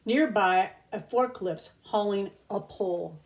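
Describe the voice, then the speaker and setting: A woman reads a picture book aloud in the manner of a preschool teacher. Her delivery is slow and expressive, pitched for small children, with an average-pitched voice. She pauses to point things out and explain words simply. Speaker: pre-kindergarten teacher reading aloud.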